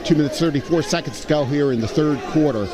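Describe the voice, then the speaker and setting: A man's voice: fairly high pitch, fast talker. A man giving live basketball commentary and analysis as part of a broadcast team. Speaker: sports commentator, game analyst. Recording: noisy gym with audible crowd. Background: crowd and gym noise.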